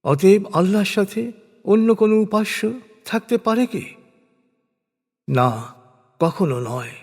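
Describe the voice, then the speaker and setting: A man reading scripted religious narration in a solemn, measured, declamatory way, with deliberate pauses. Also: sits high, lively intonation, a little mellow. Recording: long reverberant hall echo.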